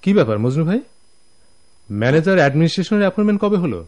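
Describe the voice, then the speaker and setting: Excitable office busybody, Bangladeshi, highish lively voice, radio play. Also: male voice, racing. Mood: inquisitive. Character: excitable, inquisitive male commercial officer. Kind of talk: Bengali radio drama acting.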